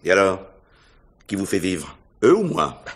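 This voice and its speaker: A man's voice: low-pitched, huge pitch swings, unhurried, a bit bright, full character voice. A man playing a cynical, self-absorbed older stage star in a radio mystery, theatrical and scornful in emphatic phrases. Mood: scornful, bitter. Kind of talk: 1950s French radio mystery acting.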